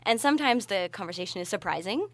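Female podcast guest, medium pitch, conversational and thoughtful explainer, very dry sound. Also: expressive, fast, no echo. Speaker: podcast guest, researcher and party presenter. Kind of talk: conversational interview answers.

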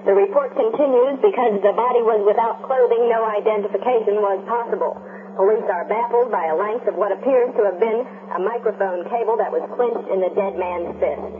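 An actress playing a radio field reporter in a 1970s radio drama, reading a grim news report in a formal, measured way. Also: female voice, mid-range voice, phone-quality sound, noisy background, close to an everyday voice. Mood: grave, measured.

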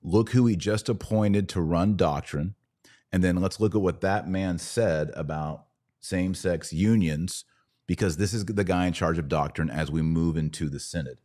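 A man's voice: deep voice, normal speed, warm tone. An American man hosting a podcast, giving conversational religious commentary with a sardonic edge. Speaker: podcast host.

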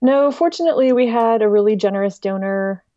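Mellow-voiced woman, casual interview guest. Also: mid-range voice, a little fast, dry recording, highs cut off. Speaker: interview guest, director of an online music archive.

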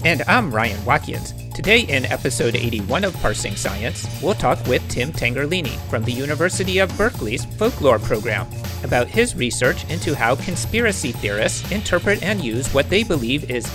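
A man co-hosting a podcast, reading a scripted introduction in a lively way. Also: fairly high pitch. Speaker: podcast co-host.

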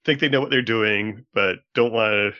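A man's voice: on the low side, moderate pace, pushed and bright. A man taking part in a casual podcast discussion, recorded with slightly dull, lo-fi sound. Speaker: podcast participant.

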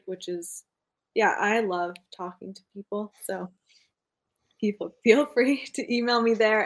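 A woman guest in a casual podcast interview, talking with frequent laughs and a self-deprecating manner. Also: mid-range voice.

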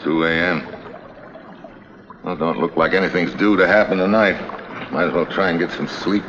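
An actor playing a tough, wry investigator with an American accent, clipped and slangy, in 1950s drama style. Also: male voice, low voice, moderate pace, fairly bright tone. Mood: weary, probing. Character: tough, wry, hard-boiled male investigator. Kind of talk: 1950s television drama acting. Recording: echoey, dull, noisy vintage soundtrack.

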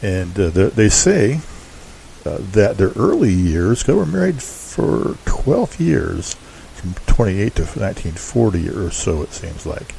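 A man hosting a show, giving casual, unscripted background commentary in a halting, rambling, hedging way. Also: fairly low pitch, sing-song, creaky, dark tone, rough recording. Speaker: host introducing an old-time radio show. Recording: faint background hiss.

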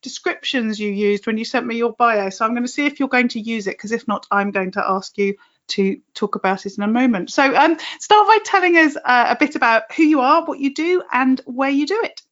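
A woman's voice: fairly high pitch, a little fast, a bit bright, muffled recording. Bubbly chatty woman podcast host. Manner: bubbly, chatty, laughs a lot. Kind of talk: podcast intro and interview.

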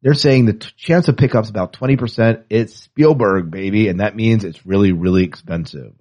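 A man's voice: lowish voice, fairly quick, a little mellow. A man co-hosting a podcast in casual, opinionated chat with wry asides, reading and commenting on news. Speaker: podcast co-host.

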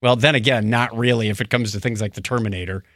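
A man's voice: medium pitch, steady tone, fast, a bit bright, dry recording, studio quality. A man hosting a casual podcast, retelling odd news stories in a wry, bantering way. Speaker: podcast host.

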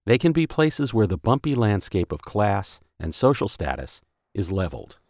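A man's voice: lowish voice, very animated, moderate pace, a little mellow, no room sound, clean studio sound. A male podcast host narrating a scripted story and posing rhetorical questions.

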